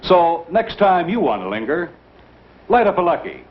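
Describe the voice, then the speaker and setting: A man's voice: sits high, sing-song, moderate pace. A man reading a 1950s commercial as an announcer, on a muffled old broadcast. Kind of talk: 1950s advertising read.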